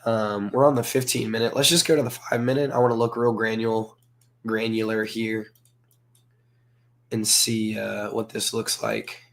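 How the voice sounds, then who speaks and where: A hoarse man from the southern United States, hosting a casual livestream and chatting with viewers. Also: lowish voice, little pitch movement, moderate pace. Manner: rambling, filler-heavy, greets chat viewers. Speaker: crypto livestream host.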